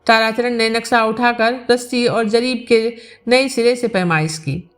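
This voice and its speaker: High-pitched woman narrating a story over music. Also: fairly even intonation, a little slow. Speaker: storyteller reading a novel aloud. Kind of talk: scripted audiobook narration of a village novel.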